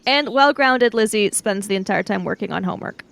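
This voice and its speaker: A woman's voice: medium pitch, brisk, gravelly, fairly bright tone. A woman playing a tabletop roleplaying game, chatting casually and bantering about the story.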